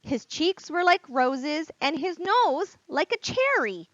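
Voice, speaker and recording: A woman reading aloud at a children's storytime as a librarian, expressive and encouraging, speaking straight to young listeners. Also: high voice, takes it slowly, no echo. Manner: expressive, gasps and whispers for drama. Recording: dull, lo-fi sound.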